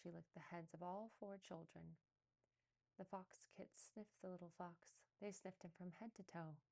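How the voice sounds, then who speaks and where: A woman reads a children's storybook aloud. Her voice is low for a woman, with a soft, mellow tone. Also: steady tone, normal speed, creaky, rough recording. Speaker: storybook reader.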